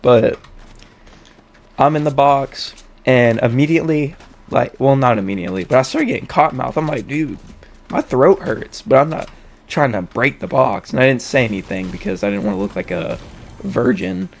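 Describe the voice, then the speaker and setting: A young man, slightly hoarse and stuffed up with a cold, telling a story in casual, slangy, sweary talk. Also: medium pitch, lively intonation, normal speed. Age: young adult. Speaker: storytelling host.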